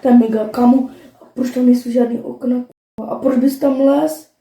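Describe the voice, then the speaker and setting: Young girl reacting casually, slightly high soft voice, teasing and sweary, echoey room. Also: steady tone. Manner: slangy, teasing, blunt, swearing. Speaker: co-commentator. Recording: roomy echo, faint noise and clicks.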